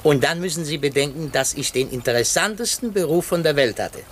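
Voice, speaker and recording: An actor plays an older foreign gentleman, a retired detective in a vintage radio play. He speaks in a rather high male voice. His manner is florid, courteous and excitable. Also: a little fast, a little hiss or hum, close to an everyday voice. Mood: wistful, proud. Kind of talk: 1950s German radio drama acting.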